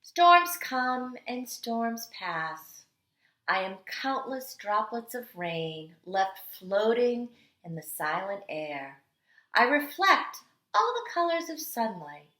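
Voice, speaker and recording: A woman kindergarten teacher reading aloud to young children with a dramatic, deliberate, expressive delivery. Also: fairly high pitch. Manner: dramatic, deliberate delivery with strong swells and hushed endings. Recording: echoey, roomy sound.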